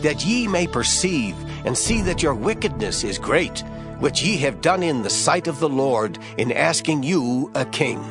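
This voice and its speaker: Animated old prophet, solemn and commanding. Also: male voice, on the high side, unhurried, music in the background. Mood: stern, exhorting. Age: older. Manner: declamatory oratory with rhetorical questions. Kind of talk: dramatized Bible reading in archaic English.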